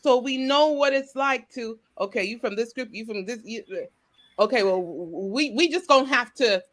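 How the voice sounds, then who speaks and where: A woman's voice: on the high side, normal speed, a bit bright. A woman speaking earnestly as a panelist, speeding up when she gets stirred. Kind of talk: panel discussion.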